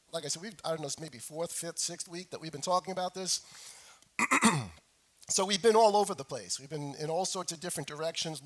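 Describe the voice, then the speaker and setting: A middle-aged man preaching a sermon as a pastor, hoarse from a cold, speaking deliberately and repeating phrases for emphasis. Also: on the high side, fairly bright tone. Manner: frequent throat clearing. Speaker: pastor preaching to his congregation. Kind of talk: sermon with scripture reading.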